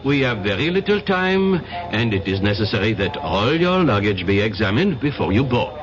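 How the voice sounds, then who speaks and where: A formal police inspector. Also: male voice, on the low side, big pitch swings, moderate pace, a crowd in the background. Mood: official. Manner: polite, official. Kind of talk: 1970s radio drama acting. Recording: lo-fi old radio recording with hiss.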